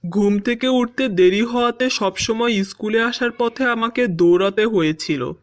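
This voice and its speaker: A woman's voice: on the high side, normal speed, lightly acted. A woman voicing a cheeky, smart-alecky schoolboy with mock-respectful answers in a cartoon joke sketch. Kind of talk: Bangla cartoon joke dialogue.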